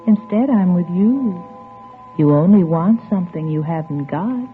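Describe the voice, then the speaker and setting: Slow, seductive dream woman. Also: lowish voice, expressive. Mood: seductive, confident. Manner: slow, coaxing, sultry. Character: alluring, confident dream woman, seductive and teasing. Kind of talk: golden-age radio suspense acting. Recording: tinny old radio recording, faint music.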